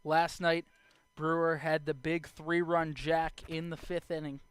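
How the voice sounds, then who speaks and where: A young adult man doing live baseball play-by-play as the announcer, speaking in short clipped phrases with measured pauses. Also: fairly high pitch, flat delivery, smooth, no echo. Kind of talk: live sports play-by-play commentary.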